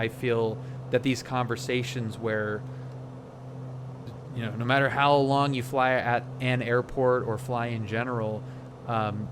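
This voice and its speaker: A man talking casually and reflectively on a livestream, often halting to search for words. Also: mid-range voice, noisy background. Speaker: flight sim streamer.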